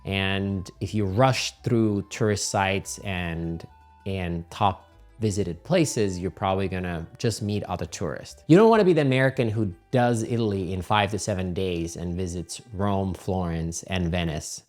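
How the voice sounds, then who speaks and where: A man's voice: deep voice, a little slow, darkish tone, faint music behind. Man giving chatty travel advice. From Finland. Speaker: channel host. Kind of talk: casual talking-head travel advice.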